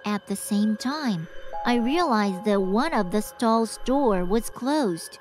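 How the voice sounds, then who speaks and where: A woman voicing a frightened schoolgirl who narrates her own night of terror in an animated horror story. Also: mid-range voice, slow pace, soft background music. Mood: uneasy. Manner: hushed, breathy fear rising to screams.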